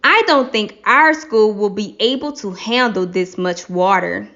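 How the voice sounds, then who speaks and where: A woman voicing an earnest schoolchild in a classroom play, with exaggerated, clearly enunciated delivery. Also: mid-range voice, measured pace, pushed and bright, voice pushed down. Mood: worried. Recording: slightly dull lo-fi recording.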